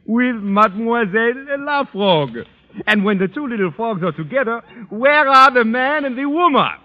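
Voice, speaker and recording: A man playing an excitable, persuasive Frenchman with a French accent in a 1940s radio comedy. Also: very high-pitched, moderate pace, long reverb tail. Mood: excited, scheming. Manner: emphatic, animated, persuasive. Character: excitable Frenchman, scheming romantic adviser. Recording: noisy muffled old radio recording.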